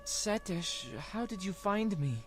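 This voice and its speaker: An earnest, troubled teenage prince acted by a man speaks in a somewhat high male voice. The lines are audio drama dialogue over faint background music. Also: slow. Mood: worried.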